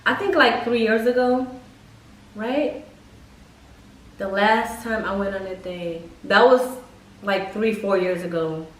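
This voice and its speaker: A young woman casually telling personal stories to camera in a blunt, expressive, slangy way with plenty of swearing. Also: mid-range voice, slow, pauses often, slight background noise. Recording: echoey room, mic at a distance.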